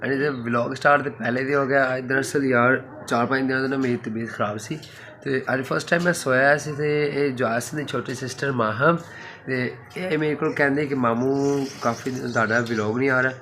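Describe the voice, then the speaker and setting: Hoarse Punjabi-accented man vlogging. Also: mid-range voice, steady tone, moderate pace, a bit bright. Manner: easygoing, mixes Punjabi into Hindi-Urdu. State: hoarse, unwell. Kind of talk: casual chat to camera. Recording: noisy background.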